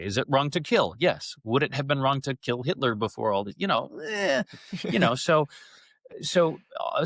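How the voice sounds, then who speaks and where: A man speaking as an interview guest and actor, telling stories casually in an animated, digressive way and often stammering over repeated words. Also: lowish voice, no room sound, clean studio sound.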